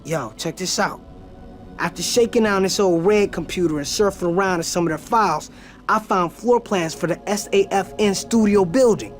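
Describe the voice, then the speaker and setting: An actor playing a cocky young streetwise rebel kid in a video game, slangy and eager. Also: male voice, sits high, moderate pace, faint music behind, close to an everyday voice. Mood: eager, scheming. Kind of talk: game cutscene dialogue.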